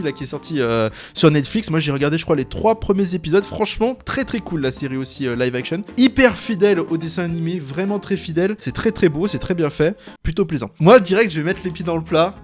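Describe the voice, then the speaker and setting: Jeune homme français à la voix assez aiguë. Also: male voice, a little high. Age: young adult. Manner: playful, slangy. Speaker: YouTube host. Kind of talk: casual chat ranking childhood cartoons.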